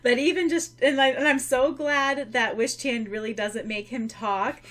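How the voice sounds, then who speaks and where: A woman's voice: sits high, fairly even intonation, normal speed, a bit bright. A woman co-hosting a podcast in casual, giggly chat, peppering her talk with "like". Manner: giggly, informal, frequent "like". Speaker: podcast co-host.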